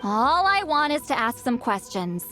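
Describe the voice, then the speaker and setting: A woman's voice: high voice, big pitch swings, measured pace, pushed and bright, soft background music, heavily acted. A woman voicing a proud, grumpy granny shaman who sounds youthful, haughty and blunt, in a video game.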